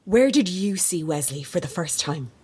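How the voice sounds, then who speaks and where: An actress playing a blunt, sceptical reporter in an audio drama, sarcastic and confrontational. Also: female voice, on the low side, very animated, moderate pace, dark tone, slightly rough. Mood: probing. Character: defiant, sardonic female reporter, sceptical and blunt.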